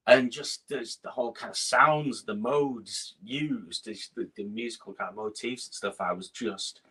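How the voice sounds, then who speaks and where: A man's voice: mid-range voice, moderate pace, slightly rough. A middle-aged man with a northern English accent, a guest chatting casually on a video call, hesitant with many fillers and restarts. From Scotland. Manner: hesitant, lots of fillers and restarts, soft laughs. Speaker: guest musician. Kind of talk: casual interview chat.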